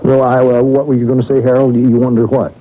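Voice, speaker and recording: A man's voice: mid-range voice, little pitch movement, fast, natural voice. Man playing an uneasy railroad worker, thin radio sound. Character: uneasy, shrugging male railroad worker, evasive and practical. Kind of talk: radio mystery drama acting. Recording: narrowband old radio broadcast, faint hiss.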